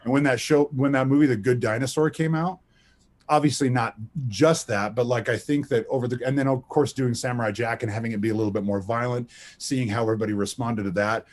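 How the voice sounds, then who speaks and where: A man's voice: mid-range voice, fast. A middle-aged man with a powerful voice, a voice actor chatting as an interview guest and acting out the people in his stories. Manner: animated storyteller, acts out quotes. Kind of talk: casual interview chat, storytelling.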